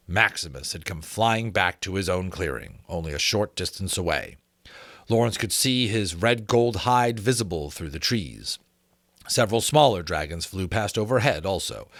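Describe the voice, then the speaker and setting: A man reads a story aloud as its narrator. His voice sits fairly low and moves in lively, animated swings, with a close, dry sound. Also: no echo. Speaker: narrator, reader. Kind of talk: expressive storytelling with casual asides.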